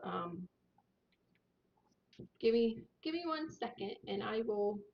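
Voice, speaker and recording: A woman talks quietly and haltingly about the whiskeys she tastes, trailing off and starting over. Her voice sits at an ordinary pitch. It comes through a dull, lo-fi microphone hanging above her. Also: animated, creaky. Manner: soft-spoken, hesitant, many fillers. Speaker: co-host of a whiskey tasting livestream. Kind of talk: casual tasting chat. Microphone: overhead microphone above the table. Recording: dull lo-fi overhead mic.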